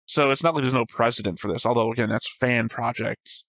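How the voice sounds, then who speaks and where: A man's voice: mid-range voice, fairly quick, a bit bright, no echo. A man talking casually as a reaction show host, rambling and explaining his thoughts at length. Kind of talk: casual chat, discussing an anime episode.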